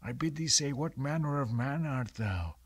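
A man's voice: mid-range voice, lively intonation, moderate pace, breathy edge, pitched above the performer's own voice. A man voicing a devout, hearty hermit of the woods in a recited poem. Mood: stern demand.

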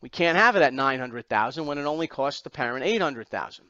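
A man's voice: medium pitch, expressive, fairly quick, sharp tone, dry recording, lo-fi sound. A man teaching an accounting exam class, lecturing through slides step by step with emphatic repetition and rhetorical questions.

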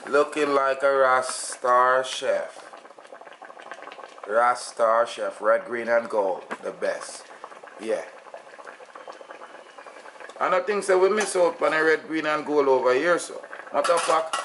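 A man's voice: mid-range voice, slow pace, pauses often, fairly bright tone, echoey room, slight background noise, low-quality recording. A middle-aged Jamaican man hosting a casual home cooking vlog, talking to the camera in a chatty way with patois asides. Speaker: cooking channel host. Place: home kitchen, indoors. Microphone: camera on the counter.

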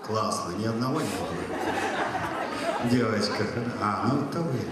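A man's voice: on the low side, lively intonation, moderate pace, a loud crowd. A man in his thirties doing a stand-up monologue on stage, chatting directly with the audience over a handheld mic. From Soviet Union. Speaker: stand-up comedian. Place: large stage hall. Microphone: handheld mic, filmed from far. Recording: echoey hall from far off.

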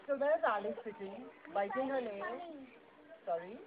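High female voice, playful theatrical children's storyteller, chatty, questioning, phone-like sound, clear background noise. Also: a little slow. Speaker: puppet show performer. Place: live book festival puppet show. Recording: tinny lo-fi phone-like, very noisy event.

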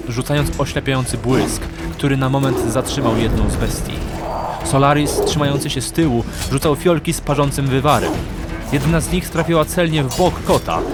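A man narrating a fantasy audiobook in a dramatic, scripted storytelling style. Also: medium pitch.

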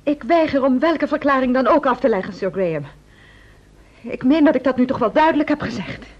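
A woman's voice: sits high, expressive, a little fast. A woman playing a defiant, formal suspect in a vintage radio play. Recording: dull vintage radio drama recording.

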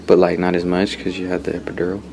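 Low-voiced young man, slangy vlogger. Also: completely flat delivery, fast. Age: 20s. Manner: slangy, blunt, swearing. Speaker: vlogger and new father. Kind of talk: casual chat to camera. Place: small hospital room. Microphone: handheld vlog camera at arm's length. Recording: clearly noisy room ambience.

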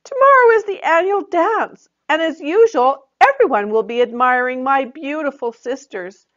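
A woman's voice: sits high, animated, normal speed, fairly bright tone, highs cut off, pitched above the performer's own voice. A woman voicing a plain young fairy princess for a storybook, glum and self-pitying, complaining with emphasis. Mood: indignant, glum.

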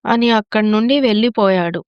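A woman's voice: mid-range voice, fairly quick, smooth, dry recording, highs cut off. A woman narrator telling a scripted moral story.

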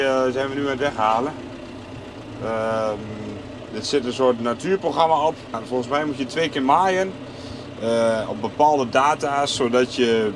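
Young farmer guy vlogging, casual explainer, mid pitch, windy outdoor audio w machinery noise. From Netherlands. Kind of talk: casual vlog narration to camera. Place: outdoors on a farm and in a tractor cab. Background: wind and vehicle noise.

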